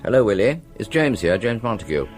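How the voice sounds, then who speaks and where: Lively, understated English academic man. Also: fairly low pitch, brisk, soft background music. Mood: friendly, probing. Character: James, discreet, wry college academic man. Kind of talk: radio play acting, conversational.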